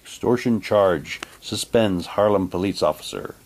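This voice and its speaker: A man's voice: low voice, a little slow, darkish tone. A man casually reading printed material aloud and commenting on it, with offhand muttered asides. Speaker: unboxing presenter.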